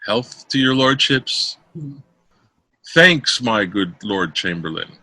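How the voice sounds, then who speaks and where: A man reading Shakespeare verse drama aloud as an amateur, in a theatrical, declaiming manner with a husky voice. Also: fairly low pitch, animated, slow, sharp tone, low-quality recording. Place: close dry room, online call.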